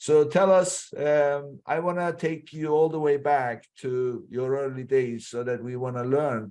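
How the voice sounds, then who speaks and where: A man hosting a podcast interview, asking friendly questions with halting restarts. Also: fairly high pitch, level tone, a little mellow. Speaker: podcast host. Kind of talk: interviewing a guest.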